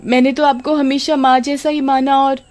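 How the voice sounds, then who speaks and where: A woman's voice: medium pitch, moderate pace, darkish tone, natural voice. A woman voicing a spiteful young woman who scolds, wails and shrieks. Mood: pleading.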